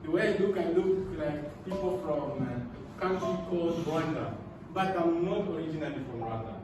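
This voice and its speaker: A man from Congo, whose first languages are Swahili and French, tells his life story in English, earnest and halting, often repeating words. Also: a little high, animated, background noise. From Democratic Republic of the Congo. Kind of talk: personal storytelling talk with slides. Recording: echoey room, mic far off, loud music behind.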